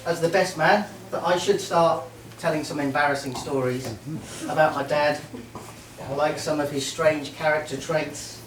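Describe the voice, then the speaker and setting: A middle-aged man from Merseyside giving a halting, joking best man's speech at a wedding reception. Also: fairly high pitch, fairly even intonation. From Merseyside, England. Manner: warm, joking, halting with many ums. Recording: roomy hall, mic far off, faint noise.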